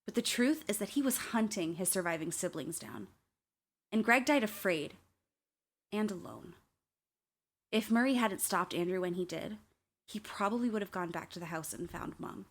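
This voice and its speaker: A determined young woman narrates an audio drama at a medium pitch. The delivery is reflective and scripted, like a personal documentary. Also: lively intonation, moderate pace, pauses often. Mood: reflective, somber. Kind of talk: scripted radio play narration.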